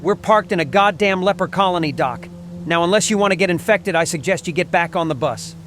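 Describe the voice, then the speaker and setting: A man playing a gruff, short-tempered army sergeant who barks orders in a dramatized audio comic. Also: high voice, brisk, fairly bright tone, a little hiss or hum. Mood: angry, impatient. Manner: barking, commanding.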